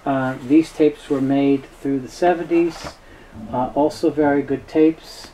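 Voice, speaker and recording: Male presenter, mellow tone, halting and factual unscripted explainer, slight background noise. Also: fairly high pitch, steady tone, poor audio. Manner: halting, matter-of-fact, knowledgeable. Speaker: tape dealer presenting a product overview.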